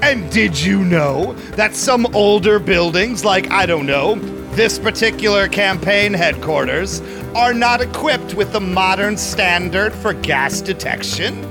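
A man's voice: high voice, expressive, unhurried, over music. An actor playing a shady, smug vampire businessman who narrates his audio drama with sly, mock-polite theatricality. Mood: gloating. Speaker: narrating lead character.

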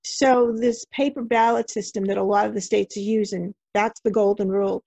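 A woman's voice: medium pitch, steady tone, moderate pace, gravelly, dark tone, no echo. A woman acting as interviewer, asking questions in a conversational style. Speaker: interviewer, host. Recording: dull, lo-fi, dry audio.

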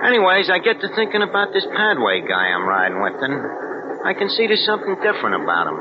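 A cocky New con man who forges checks for a living, all street slang and wisecracks. His voice sits fairly high and swings widely. It comes through a dull vintage radio recording with clear hiss. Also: huge pitch swings, fast. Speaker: narrator of his own story. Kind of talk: 1940s radio acting.

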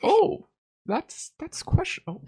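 Lively teasing woman streamer. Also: very high voice, slow pace. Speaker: streamer host. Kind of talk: casual stream chat.

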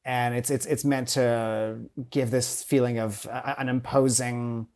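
A man's voice: medium pitch, little pitch movement, a little slow, a little mellow. Man who stutters, telling his story. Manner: stutters, with repetitions and fillers. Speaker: podcast guest, teacher. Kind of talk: casual interview.

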